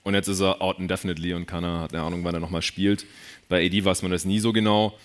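Man, fairly low voice, colloquial, jokey, live sports podcast host. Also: level tone, fast. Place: live stage show.

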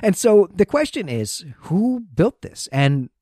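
A man's voice: high voice, sing-song, slow pace, dark tone, no echo, studio quality. A man co-hosting a literary podcast, talking through a story in a casual, chatty and enthusiastic way. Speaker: podcast co-host. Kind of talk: casual literary recap and discussion.

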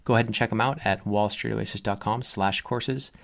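Low-voiced man doing a casual promo. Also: steady tone, vocal fry. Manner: friendly, listing items off quickly. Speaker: founder reading a sponsor message.

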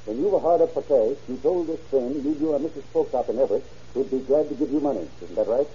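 A man's voice: mid-range voice, quick pace, dark tone, slightly rough. A man acting a hard, relentless police interrogator in a 1930s radio drama, clipped and accusing. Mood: accusing, pressing. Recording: muffled old radio recording.

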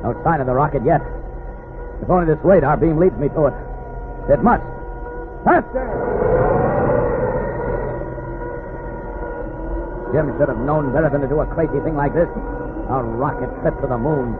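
Guy playing a heroic superhero in an old radio serial, urgent and dramatic, high voice, really noisy tinny recording. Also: animated, a little fast, long pauses, close to an everyday voice. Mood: urgent, worried. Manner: dramatic, urgent self-talk with bold exclamations. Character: heroic flying superhero, urgent, commanding, earnest. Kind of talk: 1940s radio drama acting. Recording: noisy, narrow-band vintage radio recording.

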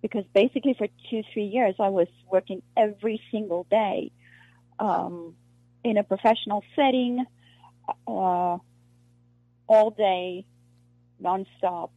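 A woman from South Africa, an actress being interviewed, talking in a halting way with many restarts and fillers. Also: medium pitch, husky, no room sound. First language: Afrikaans. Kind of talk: casual interview conversation. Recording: muffled lo-fi dry recording.

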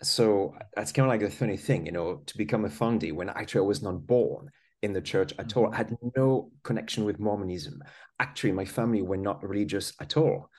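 A man's voice: lowish voice, fairly quick, a little mellow. French-accented man telling his story. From France. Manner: earnest, many small restarts and "you know". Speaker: podcast guest. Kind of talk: conversational storytelling.

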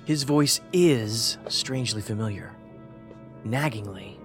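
An actor playing a cerebral, pedantic investigator in an audio drama, speaking in a measured, analytical way. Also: male voice, mid-range voice, lightly acted. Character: cerebral, musing male investigator. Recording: faint music behind the voice.

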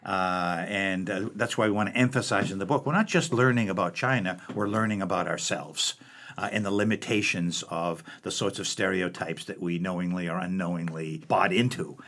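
Low-voiced older man, measured professor. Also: a bit bright. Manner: thoughtful, with many fillers and restarts. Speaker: book editor, professor. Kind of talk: conversational interview.

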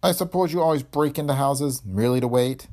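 A man voicing a gruff, shrewd police chief in a hardboiled detective story, blunt and intimidating with grudging amusement. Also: medium pitch, a little fast, a little mellow, voice pushed up, natural voice. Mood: accusing. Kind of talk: hardboiled detective audiobook dialogue.

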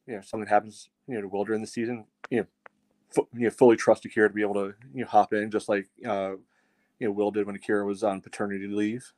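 Lowish, dry-sounding man talking sports. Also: level tone, fast talker, a little mellow, dry recording. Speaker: co-host of a soccer fan show. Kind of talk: casual sports analysis.

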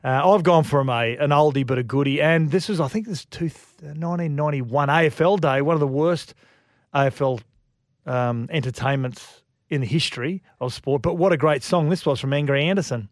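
A man's voice: a little high, fairly quick, dry recording, clean studio sound. A man co-hosting a sports radio show in casual, teasing banter. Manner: chatty, laughs easily, teasing. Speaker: radio co-host.

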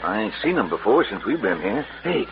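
An actor playing a rough American outlaw henchman in an old Western radio drama, blunt and slangy. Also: on the low side, big pitch swings, a little fast, noisy background. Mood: wary. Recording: muffled old radio recording, background murmur.

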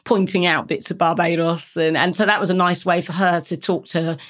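Reflective British woman, low voice. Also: fairly even intonation, moderate pace. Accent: British English. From England, Reading. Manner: warm, reflective, with frequent restarts and 'you know'. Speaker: guest. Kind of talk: conversational podcast interview.

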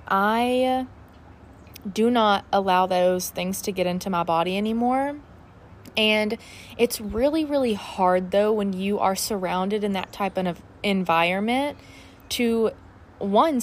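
A woman's voice: medium pitch, a little hiss or hum. Chatty young woman with a lilt. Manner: chatty and candid, many fillers like "like" and "uh". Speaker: podcast host. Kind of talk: casual solo podcast chat. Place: outdoors in her backyard.

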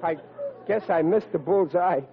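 An actor playing a bumbling, excitable young rookie cop in 1940s radio comedy style, stammering in ungrammatical everyman talk. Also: high-pitched, a little slow, creaky, a crowd in the background. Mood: flustered. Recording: tinny old radio recording.

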